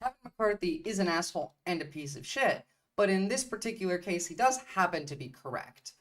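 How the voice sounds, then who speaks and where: Blunt, profane woman streamer, low-voiced. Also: expressive, a little fast. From Turkey. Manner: blunt, profane, repeats points for emphasis. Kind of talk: livestream political commentary.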